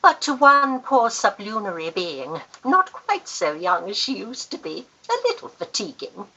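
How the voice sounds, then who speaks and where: A woman's voice: mid-range voice, sing-song, unhurried, sharp tone, breathy edge, heavily acted, rough recording. A woman playing a worldly older aunt in a Victorian radio play, grand, fond and wryly teasing. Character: worldly, affectionate older aunt and wealthy guardian, teasing, wry. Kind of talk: Victorian period radio acting.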